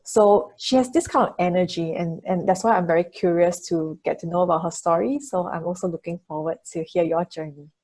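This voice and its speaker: A woman's voice: fairly low pitch, brisk, few pauses, a little mellow. A woman hosting a podcast, presenting and interviewing in a conversational way. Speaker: podcast host. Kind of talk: scripted intro and conversational interview.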